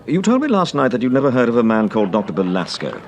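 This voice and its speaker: A man playing a suave, observant British gentleman detective in a radio drama, polite and unruffled. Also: medium pitch, wildly swooping pitch, quick pace, muffled recording, a little hiss or hum. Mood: polite, probing. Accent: British English. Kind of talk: British radio serial acting.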